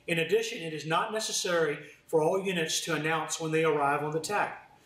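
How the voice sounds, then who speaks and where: A man's voice: on the high side, brisk, fairly bright tone. A man giving a formal, scripted training presentation as a fire department instructor. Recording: roomy, distant mic, faint noise.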